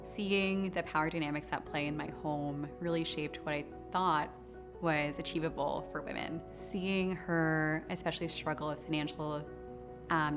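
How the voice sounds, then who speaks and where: Low female voice with music behind. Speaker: scholarship recipient, student. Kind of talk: interview testimonial.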